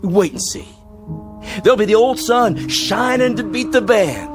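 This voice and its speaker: A man's voice: high voice, a little slow. An actor playing a nervous townsman who rambles and stammers while insisting the darkness will lift, over background music.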